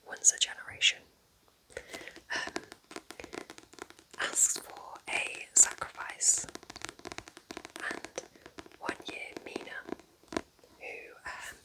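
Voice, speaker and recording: A woman whispers breathily in a gentle, chatty ASMR roleplay as a kind witch at home in her cabin. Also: rough recording. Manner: gentle, chatty, informal asides.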